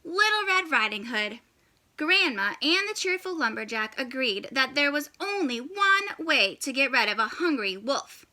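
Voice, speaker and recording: A woman reads a story for young children in a high, very bright voice. She takes her time, pausing for drama, and keeps the delivery animated and expressive. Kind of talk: animated children's read-aloud.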